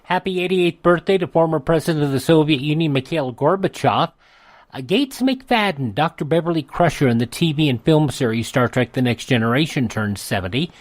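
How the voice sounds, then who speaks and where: A man with an American accent hosting a radio show, reading an on-this-day almanac with chatty asides and drawn-out names. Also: on the high side, animated, no room sound. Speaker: radio host. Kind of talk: scripted radio almanac narration. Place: radio studio.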